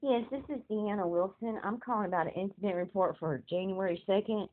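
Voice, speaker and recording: Female caller, mid-pitched, hesitant and repetitive, heard through a narrow-band phone recording. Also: brisk, slight background noise. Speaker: caller requesting a police report. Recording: muffled, narrow telephone call.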